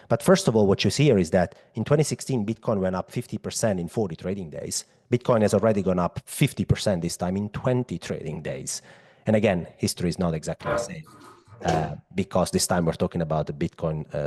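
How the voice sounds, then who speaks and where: A man's voice: low-pitched, a little mellow, breathy edge. A man with an Italian accent giving an explanatory finance presentation, conversational and emphatic, addressing listeners directly. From Europe. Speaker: macro analyst presenting.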